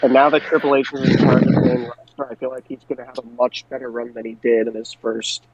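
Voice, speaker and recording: A man's voice: medium pitch, fairly even intonation, fast talker, a crowd in the background. A man co-hosting a podcast, chatting casually about pro wrestling on a dull, lo-fi mic. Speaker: podcast co-host.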